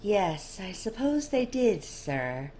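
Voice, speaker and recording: A woman's voice: medium pitch, very animated, slow pace, dark tone, pitched above the performer's own voice. A woman voicing a guarded female linguist in a story, clipped and politely dry, feigning meekness. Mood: dryly polite.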